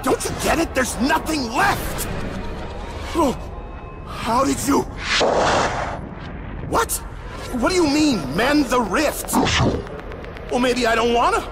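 Frantic, high-pitched paranoid man. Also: animated, slow pace, long pauses, over loud music, exaggerated voice. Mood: whiny, agitated. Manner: frantic, whiny, rambling. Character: twitchy, paranoid man who hears voices. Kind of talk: video game cutscene acting.

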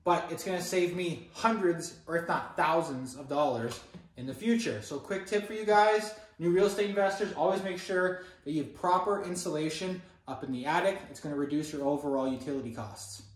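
A man's voice: on the high side, a little fast. A young man from Canada, a real estate investor, walks viewers through his renovation. He keeps calling them guys, upbeat and chatty, in an empty apartment with the camera a few steps away. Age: young adult. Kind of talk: walkthrough tour talking to camera. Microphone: camera held by another person, a few steps away. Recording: echoey empty room, mic at a distance.

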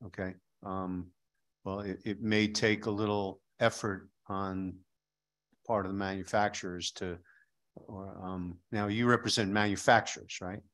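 A man's voice: sits low, fairly even intonation, a little slow, fairly bright tone. A middle-aged man questioning a witness at a legislative hearing, probing and skeptical, speaking into a desk microphone in a hearing room.

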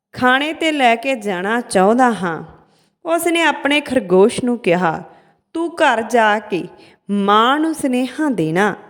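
A woman narrating a scripted folk tale as a storyteller, with deliberate, dramatic phrasing and pauses, in a heavily reverberant recording. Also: a little high. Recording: long hall-like reverb.

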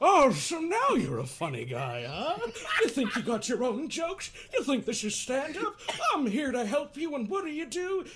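A man playing a quirky, needling therapist in an improvised comedic game dub, speaking theatrically with halting pauses and self-amused chuckles. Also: very high voice, wildly swooping pitch, crowd noise, full character voice. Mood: irritated, mocking.

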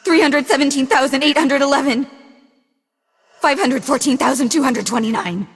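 A woman playing an entranced video game character, reciting in a measured, methodical way with a husky voice. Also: sits high, natural voice. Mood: insistent. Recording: long reverberant tail.

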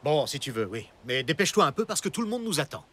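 A man's voice: on the high side, sing-song, quick pace, husky, full character voice. A man voicing a stern, worried caveman father in a cartoon, firm and commanding. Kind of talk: French cartoon voice acting. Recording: loud music underneath.

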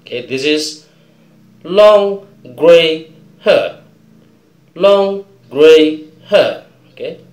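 Slow Indonesian-accented man teaching English. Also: a little high, lively intonation, darkish tone, roomy sound. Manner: drills words slowly, keeps asking "okay?". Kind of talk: slow pronunciation lesson. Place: small indoor room before a colored backdrop.

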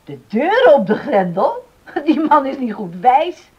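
A woman's voice: high voice, very animated, a little slow, highs cut off. An older actress playing a practical, kindly island mother in a radio drama, motherly and no-nonsense. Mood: firm.

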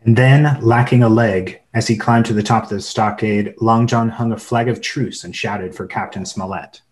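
A man's voice: lowish voice, fairly even intonation, moderate pace, close to an everyday voice. A man playing the narrator of an adventure radio play, in scripted dramatic narration. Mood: storytelling. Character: storyteller recounting an adventure.

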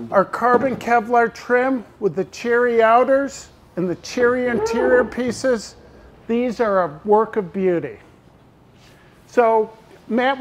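A middle-aged man presenting new products at a launch. He talks enthusiastically and speaks to viewers and staff by name. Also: very high voice. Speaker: company owner presenting boats.

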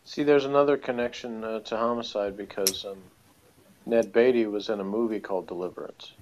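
A man's voice: on the low side, fairly even intonation, a little fast, darkish tone, a little hiss or hum, rough recording. A man from Texas co-hosting a casual, conversational commentary on a TV episode. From Texas, United States. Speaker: podcast co-host.

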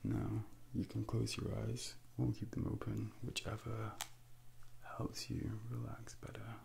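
A man playing a suave, ominous Devil in a soft-spoken ASMR roleplay, slow and deliberate, close to the mic with hushed whispers. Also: lowish voice, monotone, creaky. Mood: calm, commanding. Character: suave, ominous male Devil probing a soul. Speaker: ASMR performer. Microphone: close binaural microphone. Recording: close-up with faint background noise.

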